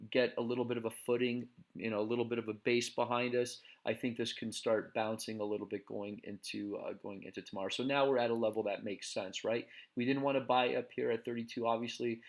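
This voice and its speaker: A man hosting a casual stock market recap, talking in a relaxed way with many fillers and restarts, and losing his voice. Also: mid-range voice.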